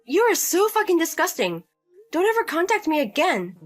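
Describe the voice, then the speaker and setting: A woman's voice: very high-pitched, big pitch swings, moderate pace, faint music behind, voice pushed up. A woman voicing a fed-up young wife who answers curtly and repeats herself firmly. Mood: disgusted.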